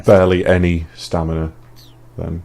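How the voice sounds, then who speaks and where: A man's voice: low voice, monotone, normal speed, creaky, darkish tone, a little hiss or hum. A man chatting casually while he plays a video game with friends. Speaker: let's play player. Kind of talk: casual gaming chat.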